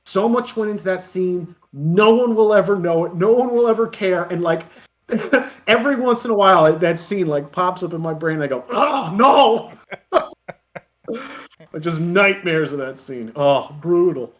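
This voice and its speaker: A man with a slightly high voice talks as a guest in a casual interview. He tells stories about his own work in an animated, self-deprecating manner. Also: a little slow. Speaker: interview guest, web parody creator. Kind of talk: casual interview chat, anecdotes.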